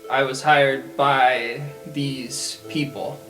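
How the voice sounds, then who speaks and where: A man playing a laconic, guarded mercenary assassin in a tabletop game, with a deadpan manner. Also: mid-range voice, drawn-out words, faint music behind, rough recording. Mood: defensive, matter-of-fact. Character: laconic mercenary assassin who enjoys killing.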